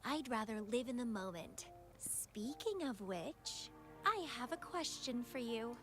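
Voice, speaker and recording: Slow, teasing, possessive young woman rival. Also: a little high, animated, over music. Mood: tender, possessive. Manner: teasing, languid, dramatic delivery. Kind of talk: scripted video game voice acting.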